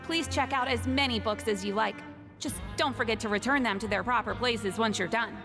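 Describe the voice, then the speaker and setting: High-voiced earnest, welcoming actress as prison society member. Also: female voice, fairly quick, natural voice. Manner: explanatory, earnest. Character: friendly adult woman, earnest, grateful prison society member. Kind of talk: video game dialogue acting. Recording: game music behind.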